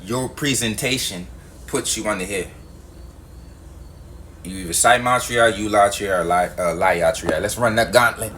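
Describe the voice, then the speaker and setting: A man's voice: lowish voice, measured pace, long pauses, a bit bright, slight background noise. A man delivering a heated monologue to his viewers, emphatic and profane, repeating his points. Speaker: video host.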